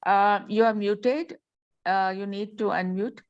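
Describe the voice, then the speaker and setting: A woman moderating an online meeting and introducing participants, speaking politely in a hesitant, halting way over a video call. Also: mid-range voice, fairly even intonation, lo-fi sound. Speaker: meeting host.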